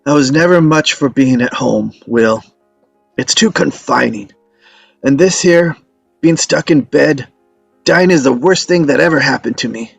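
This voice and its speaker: A stubborn, ailing old storyteller played by a man, folksy and wry. His voice is somewhat low with a gravelly creak, dry and close, as he spins tall tales in a dramatic script read. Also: a little slow, no room sound, soft background music. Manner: folksy, evasive, joking storyteller.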